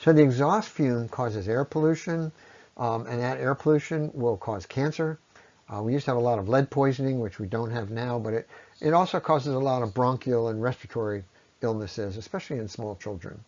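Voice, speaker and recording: A man giving an explanatory science lecture in a measured way, pausing often and letting his phrases trail off at the ends. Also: medium pitch, darkish tone. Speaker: presenter on ecology. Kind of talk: explanatory lecture on environmental impact. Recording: dull, lo-fi voice recording.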